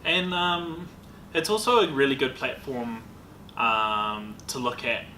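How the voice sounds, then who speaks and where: A man's voice: mid-range voice, slow, bright, forceful tone. A man co-hosting a podcast, rambling enthusiastically with lots of ums. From New Zealand. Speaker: podcast co-host. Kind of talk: casual chat. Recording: echoey room with faint noise.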